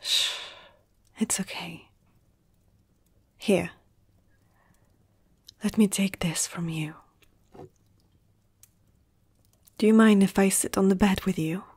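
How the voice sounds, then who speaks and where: Slow, husky, soft-spoken female vampire, acted by a woman. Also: mid-range voice, exaggerated voice. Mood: soothing. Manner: soft-spoken, often whispering, slow and soothing. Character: gentle, protective female vampire comforting a human. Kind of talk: ASMR roleplay.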